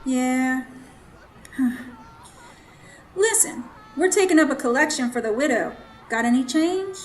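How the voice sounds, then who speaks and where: A woman's voice: high voice, lively intonation, slow pace, a little hiss or hum. A woman acting in a radio drama as a kindly, plainspoken boarding-house keeper with a folksy, sympathetic manner.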